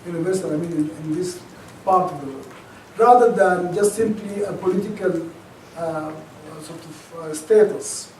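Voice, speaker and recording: Sudanese-accented man giving a lecture, high mellow voice, echoey hall, mic kinda far. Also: slight background noise. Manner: thoughtful, halting, many restarts and fillers. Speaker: guest lecturer. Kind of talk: academic lecture. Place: lecture auditorium. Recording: echoey auditorium, mic at a distance.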